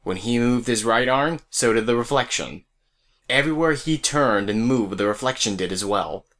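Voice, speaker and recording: A man's voice: medium pitch, expressive, moderate pace, fairly bright tone. A man narrating a scripted audio drama as a storyteller who speaks straight to the listener. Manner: storyteller addressing listeners directly.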